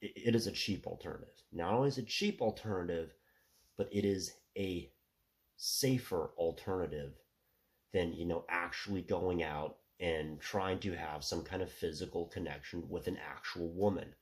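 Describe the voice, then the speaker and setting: A man in his thirties talking through a rambling casual monologue with a stuffy nose, filling every pause with "you know" and "right". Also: low-pitched. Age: 30s. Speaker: solo commentator.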